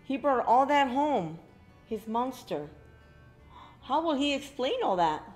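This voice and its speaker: A woman's voice: high-pitched, sing-song, slow, darkish tone, soft background music. A middle-aged woman reading a story aloud to young children, expressively, with exclaimed asides to her listeners. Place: indoor library room with bookshelves.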